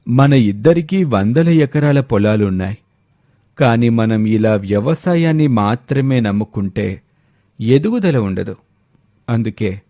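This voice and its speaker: A man's voice: fairly low pitch, warm tone, no echo, clean studio sound. A man voicing a confident, wealthy landlord with an earnest, persuasive manner in a storybook tale.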